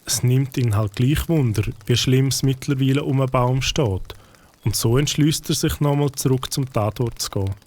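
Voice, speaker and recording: A man narrating a children's audio drama in a calm storytelling manner. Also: medium pitch, normal speed, a little hiss or hum.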